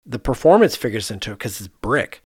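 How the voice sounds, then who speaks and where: A man's voice: medium pitch, sing-song, a little fast, breathy edge, dry recording. Emphatic man talking shop, close dry sound. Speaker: architect and podcast host. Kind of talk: casual conversational discussion.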